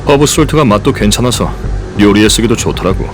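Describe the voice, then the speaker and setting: A man's voice: on the low side, brisk, over music, close to an everyday voice. An actor playing a curt male field agent who narrates and gives clipped commands. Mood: stern. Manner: clipped commands, dry asides. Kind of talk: audio drama narration and acting.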